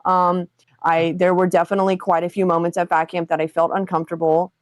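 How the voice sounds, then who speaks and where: A woman's voice: fairly low pitch, level tone, fast, no room sound. A woman on a livestream talk show, speaking bluntly and pressing hard questions, with frequent swearing.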